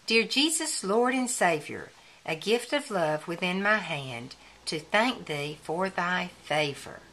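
A woman reading a children's picture book aloud in a deliberate manner, as if counting along with young listeners. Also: lowish voice, bright, forceful tone, a little hiss or hum.

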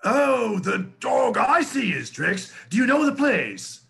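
An actor playing a blustering old gentleman with a gouty temper, chuckling and quick to anger in a stage play. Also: very high voice, very animated, creaky, exaggerated voice. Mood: alarmed.

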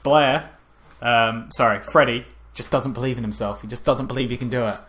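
A man's voice: medium pitch, fairly quick, a bit bright. A man co-hosting a podcast in casual, mocking chat, swearing freely and recapping with sarcastic mock drama. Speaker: podcast co-host.

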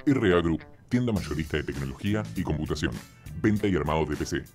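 A man reads a commercial in a steady, listing sales voice. His voice is deep, and music plays clearly behind it. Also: fast talker. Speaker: ad voice-over. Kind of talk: commercial voice-over.

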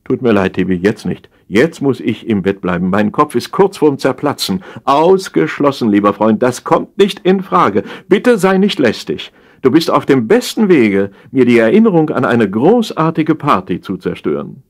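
A man's voice: medium pitch, big pitch swings, moderate pace, hardly any pauses. A man playing a whiny, defensive party guest on a call to his friend, plaintive and full of exclamations in a comic radio play. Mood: indignant, refusing.

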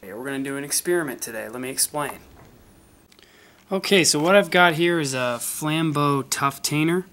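A man's voice: a little high, normal speed, a bit bright. A young man, a college student, casually hosting a fishing channel, testing a tackle box with plenty of ums and uhs. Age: young adult. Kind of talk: casual product test vlog. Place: carpeted room indoors.

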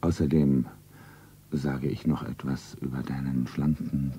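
A man playing a wealthy lover in a stylised 1970s radio play, tender and solemn, with an intimate husky delivery. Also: rumbling low, steady tone, measured pace, dark tone, heavily acted.

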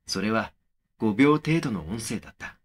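A man playing a young man who narrates his eerie experience in a horror audio drama, measured and serious. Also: lowish voice, lively intonation, natural voice. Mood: serious, suspenseful. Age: young adult. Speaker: first-person narrator of a horror story.

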